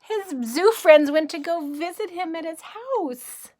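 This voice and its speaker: A woman reading a picture book aloud as a kindergarten teacher, gently and expressively, with questions to the listeners. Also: very high voice, animated, slow pace.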